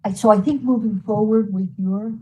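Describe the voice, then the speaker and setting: A woman's voice: mid-range voice, steady tone, normal speed, dark tone, slight background noise, low-quality recording. A woman county commissioner in a public meeting, hesitant and often correcting herself mid-sentence. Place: meeting room.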